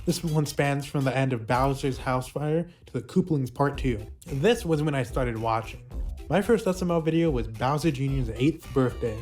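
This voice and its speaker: A man's voice: medium pitch, moderate pace, darkish tone. Man narrating casual commentary over music. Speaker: video essayist reviewing a puppet show's history. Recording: music playing behind the voice.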